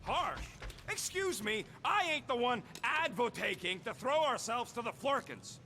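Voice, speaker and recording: An actor voicing a cranky, brash little creature, a tech-minded space outlaw in a video game, snappy and sarcastic. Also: male voice, very high-pitched, very animated, a little slow, slight background noise, heavily acted. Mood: irritated. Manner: snappy, sarcastic, loud, slangy. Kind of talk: animated video game character acting.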